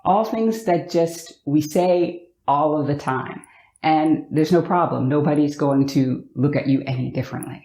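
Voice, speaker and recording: An upbeat, expressive American woman from Virginia, talking to the camera in a vlog and drawing out words for emphasis. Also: low-pitched, a little slow. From Virginia, USA. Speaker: vlogger. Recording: echoey room.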